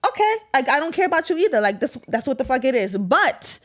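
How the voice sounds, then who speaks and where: A woman co-hosting a podcast in casual chat, blunt and expressive, with a tendency to curse. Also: sits high, fast. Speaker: podcast co-host.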